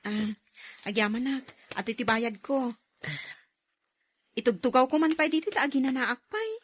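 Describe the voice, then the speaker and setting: High-voiced Ilocano street vendor. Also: female voice, normal speed, slightly rough. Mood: easygoing, tired. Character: hardworking single woman street vendor, cheerful and persistent. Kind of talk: radio drama acting.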